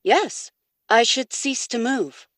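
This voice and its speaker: A woman's voice: fairly high pitch, lively intonation, slow pace, no room sound, clean studio sound. An actress playing a placid, obedient female robot servant in an old-time radio drama, giving formal, emotionless answers. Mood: impassive. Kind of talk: live recreation of 1930s radio drama. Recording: loud noise and clicks.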